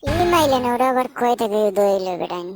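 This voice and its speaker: Woman voicing a very high-pitched, cheeky Sylheti cartoon character. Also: lively intonation, quick pace. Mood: scheming. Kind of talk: colloquial cartoon dialogue.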